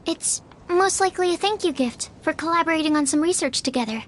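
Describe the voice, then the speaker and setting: A woman's voice: very high voice, fast, a little hiss or hum. A woman playing a shy, earnest young alchemist's assistant in a video game, timid and hesitant. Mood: earnest, matter-of-fact. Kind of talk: video game character acting. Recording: music playing behind the voice.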